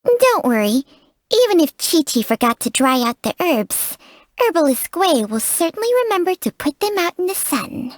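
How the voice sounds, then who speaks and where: A woman voicing a small, blunt snake companion in a video game, with a cute childlike voice and slow delivery. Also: sits high, big pitch swings, dry recording, studio quality. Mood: reassuring. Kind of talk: video game character voice lines.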